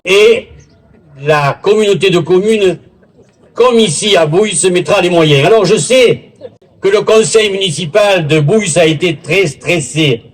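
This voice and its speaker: A man giving a formal public speech as a local official, in a deliberate, theatrical oratorical manner with pauses and a husky voice. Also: high-pitched. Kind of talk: formal public speech at a village festival, with literary recitation. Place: village festival in Bouisse, addressing a gathering.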